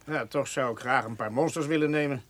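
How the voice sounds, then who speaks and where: An actor playing a polite, insistent government inspector in a radio play, formal and businesslike. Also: male voice, mid-range voice, a little fast, a bit bright, close to an everyday voice. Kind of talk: Dutch radio play acting.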